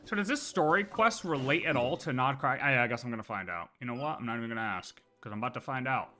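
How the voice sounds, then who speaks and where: A man's voice: medium pitch, lively intonation, quick pace, bright, forceful tone. A man giving casual, rambling livestream gameplay commentary, often questioning and answering himself. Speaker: game streamer. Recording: game music behind voice.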